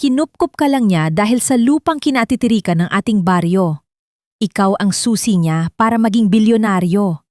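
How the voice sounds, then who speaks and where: A woman's voice: mid-range voice, animated, moderate pace, darkish tone, no echo, clean studio sound, close to an everyday voice. A woman acting a cruel, scheming aunt. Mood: cruel mocking. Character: cruel scheming aunt, mocking and suspicious villainess.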